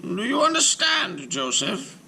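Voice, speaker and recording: A man voicing an elderly male rabbi, solemn and commanding, his lines raised high and urgent, at times whispered. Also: big pitch swings, unhurried, vocal fry, bright, forceful tone, slight background noise, exaggerated voice.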